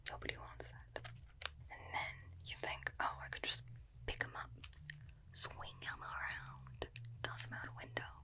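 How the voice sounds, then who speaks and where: A woman's voice: a little slow, long pauses, natural voice. Woman acting a whispering, chatty vampire slayer. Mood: chatty, curious. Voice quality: soft, breathy whisper. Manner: rambling, confiding, questioning. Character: female vampire slayer, inept, chatty, suspicious, obsessive. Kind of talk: whispered ASMR roleplay. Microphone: close binaural ASMR microphone.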